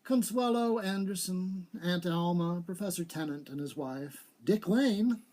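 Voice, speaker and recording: A man's voice: sits high, a little slow, a little mellow. A man voicing a willful, coaxing younger female socialite in a story reading. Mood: breezy, teasing. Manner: coaxing, gushing.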